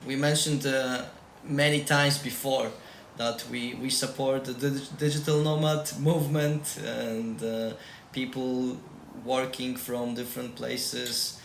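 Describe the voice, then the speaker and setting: A man's voice: mid-range voice, little pitch movement, takes it slowly, vocal fry. Polish-accented man in an echoey room. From Poland. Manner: repeats key advice emphatically. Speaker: tech team lead. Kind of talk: casual interview answers. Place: shared room. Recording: echoey room with faint noise.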